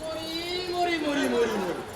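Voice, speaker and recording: A man's voice: extremely high pitch, sing-song, a little slow, heavily acted. A man playing a cynical, mocking ghost of a bearded holy man in a stage comedy, with sardonic repetitions and mock-archaic diction. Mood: mocking, scornful. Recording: echoey noisy stage recording.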